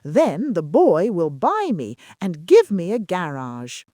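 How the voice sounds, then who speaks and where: A woman's voice: lowish voice, wildly swooping pitch, slow, mellow, no room sound, clean studio sound, exaggerated voice. A woman voicing an earnest, hopeful little old car in a children's bedtime storybook, with a childlike, wishful manner. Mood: determined, hopeful.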